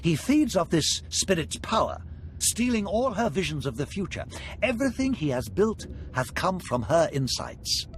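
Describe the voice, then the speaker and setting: A man's voice: sits high, big pitch swings, moderate pace, slight background noise. An actor playing a cunning, aristocratic Sith lord in a video game, courtly and theatrical, relishing his words. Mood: scheming, urgent. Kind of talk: video game character acting.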